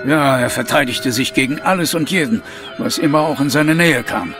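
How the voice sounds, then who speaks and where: A man plays a determined Victorian police inspector in an audio drama. He is formal and earnest, mid-pitched, set a little back from the microphone over music. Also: normal speed, distant mic, natural voice. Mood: earnest, questioning. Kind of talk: German audio drama acting.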